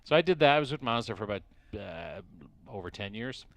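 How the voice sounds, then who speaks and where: A middle-aged man from Dublin with an Irish accent, talking as an interview guest at a race track, pausing often and restarting his sentences. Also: fairly low pitch, lively intonation, vocal fry, a bit bright, dry recording. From Ireland. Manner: hesitant, many restarts and fillers. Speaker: interview guest, racing team executive. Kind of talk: casual interview. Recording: faint noise at a race track.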